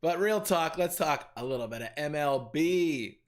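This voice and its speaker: A man's voice: on the high side, lively intonation, moderate pace. A man hosting a casual sports podcast, joking with his co-hosts and steering the talk to the next segment. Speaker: podcast host.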